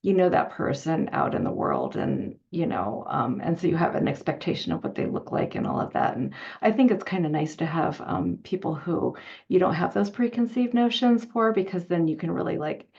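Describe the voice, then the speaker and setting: Creaky, low-pitched woman, slightly muffled sound. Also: big pitch swings, normal speed, darkish tone. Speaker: host, moderator. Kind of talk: casual interview moderating.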